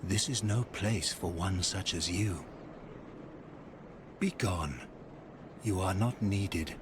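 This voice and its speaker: A man's voice: low-pitched, slow, noisy background. A man playing a stern, cold, dismissive guardian in a fantasy video game.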